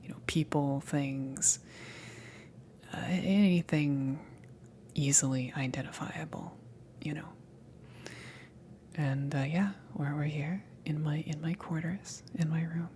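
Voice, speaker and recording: A woman voicing a friendly, flustered alien ship crewmember in a soft ASMR roleplay, speaking in a hesitant, breathy half-whisper. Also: deep voice, steady tone, slight background noise. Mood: shy, welcoming. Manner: hesitant, awkward, giggly, halting.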